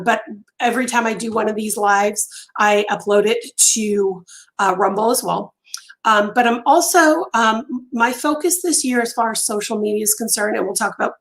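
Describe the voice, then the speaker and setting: A woman hosting a casual crochet live stream from home, chatty with many ums and pauses, her voice going hoarse and interrupted by throat clearing. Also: medium pitch, fairly bright tone, rough recording. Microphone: phone on a mount.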